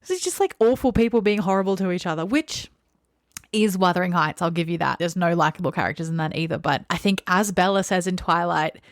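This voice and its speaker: A woman with a slightly low voice chats casually about books as a podcast co-host. She is blunt and opinionated and drops "like" into her sentences often. The sound is dry, with little room on it. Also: fast talker, dry recording. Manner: opinionated and blunt, peppers talk with "like". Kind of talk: casual book chat.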